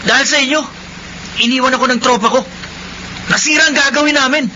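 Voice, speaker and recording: A muffled radio drama voice, very high and sharp: a surly young Filipino delinquent. He talks to his elders in a whiny, disrespectful, petulant way. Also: fairly even intonation, quick pace, a little hiss or hum, heavily acted. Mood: angry, petulant.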